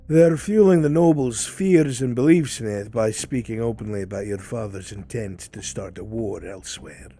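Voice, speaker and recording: A man's voice: on the low side, normal speed, hardly any pauses, mellow, close to an everyday voice. A man playing a furtive, loyal informant in a fantasy roleplay, speaking conspiratorially with old-fashioned rustic words. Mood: grave, secretive. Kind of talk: improvised fantasy roleplay dialogue. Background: background music.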